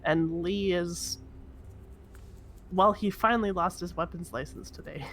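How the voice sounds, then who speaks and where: Girl doing a chatty violent crook lady in game rp, lowish voice, rambles, slight background noise. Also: unhurried. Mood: chatty, blithe. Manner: rambling, repeats yeah and you know. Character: chatty, cheerfully violent criminal woman. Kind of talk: improvised in-game roleplay conversation.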